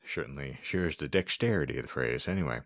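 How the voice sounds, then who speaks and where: A man's voice: rumbling low, lively intonation, fast talker, no room sound, studio quality. A man hosting a podcast with wry, sarcastic asides, bantering casually and reading bits aloud. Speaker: podcast host.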